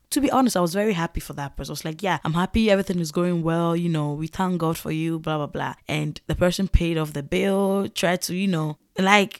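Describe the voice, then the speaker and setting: Woman, Ghanaian English accent, young adult, lowish voice, dry close sound, casual storytelling with laughter. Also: no room sound. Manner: chatty, laughs often, animated exclamations. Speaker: podcast host.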